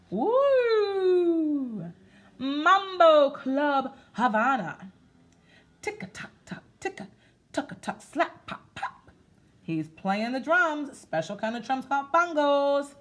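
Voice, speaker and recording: A woman reading aloud to children in an expressive, sing-song way, talking to them directly as she goes. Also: high-pitched. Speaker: children's story-time reader. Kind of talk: interactive read-aloud to children, part sung.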